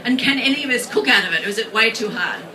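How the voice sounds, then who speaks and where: A woman's voice: on the high side, animated, fast. A woman hosting a live restaurant event, chatty and lightly laughing, with filler ums. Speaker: event host. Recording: distant mic, crowd noise.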